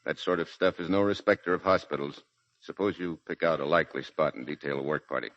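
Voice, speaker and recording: An actor playing a grave, steady Marine major in command of a garrison, in 1940s radio drama style. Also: male voice, extremely low pitch, fast. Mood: businesslike. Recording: muffled 1940s radio recording.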